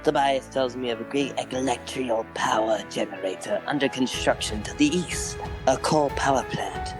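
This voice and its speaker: A man's voice: mid-range voice, normal speed, over music, lightly acted. A man voicing a cold, scheming robot villain commander with a formal, imperious delivery in a comic dub. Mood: scheming, commanding.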